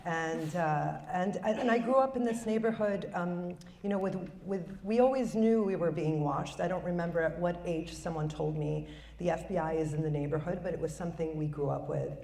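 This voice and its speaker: A woman's voice: on the low side, normal speed, creaky, mellow. A woman filmmaker from Chicago, speaking reflectively with many fillers on a conference panel. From Chicago, United States. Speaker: filmmaker and former public radio journalist. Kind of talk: panel discussion. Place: conference hall. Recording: roomy hall, mic far off, faint noise.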